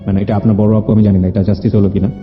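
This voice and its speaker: A male radio host with a Bangladeshi accent talks through a guest's life with earnest, probing questions and advice. His voice is fairly low and moves quickly, with English words dropped in. The sound is echoey, with music underneath. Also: little pitch movement. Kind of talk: conversational life-story interview with advice. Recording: roomy echo, dull muffled sound, music underneath. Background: background music.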